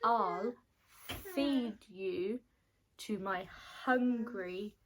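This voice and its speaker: A woman's voice: mid-range voice, drawn-out words, noisy background. A woman voicing a cross, bossy male lizard wizard from a storybook, commanding and indignant. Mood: threatening. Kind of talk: storybook character voice.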